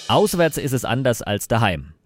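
A man presenting club news to fans, steady and informative, talking directly to the listeners. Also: mid-range voice, moderate pace, loud music behind the voice.

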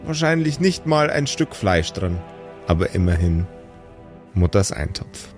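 A man with a fairly low voice works as game master, telling an improvised fantasy story. His narration is measured and descriptive, savouring details over soft background music. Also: sing-song.

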